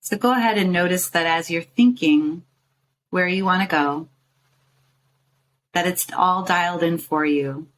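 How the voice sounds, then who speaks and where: A woman with a low-pitched voice leads a guided meditation. She speaks soothingly, coaxing the listener along in short phrases with pauses between them. Some background ambient noise sits behind her voice. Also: low-quality recording. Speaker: meditation guide and show host.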